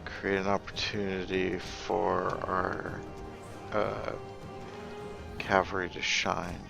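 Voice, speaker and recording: A man giving casual gameplay commentary, thinking aloud in a halting, musing way and often trailing off mid-thought. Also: deep voice, flat delivery, creaky, music in the background. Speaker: gaming video host.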